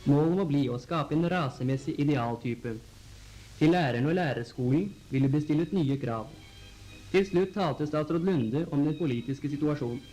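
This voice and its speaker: A man reading scripted 1940s newsreel narration in a formal, measured announcer style on an old film soundtrack. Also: a little high, warm tone, faint music behind, poor audio. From Norway.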